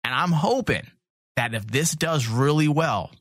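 A man with a very lively, swooping delivery talks casually as a podcast host. He is blunt and opinionated, swears freely, and the sound is close and dry. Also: on the high side, unhurried, no echo.

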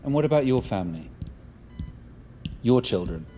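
A man's voice: medium pitch, big pitch swings, normal speed, dark tone, soft background music, lightly acted. An actor playing a cold, domineering male leader in an audio drama, speaking in a commanding, callous way. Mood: cold goading.

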